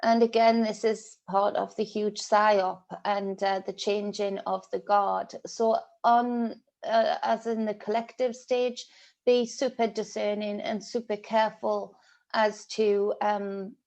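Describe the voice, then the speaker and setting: A woman's voice: mid-range voice, level tone, takes it slowly, darkish tone. A woman tarot reader with a stuffy, sniffly nose, talking in a rambling way full of ums and restarts as she gives a casual spiritual reading. She has a British accent. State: congested, sniffly. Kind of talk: casual spiritual vlog monologue.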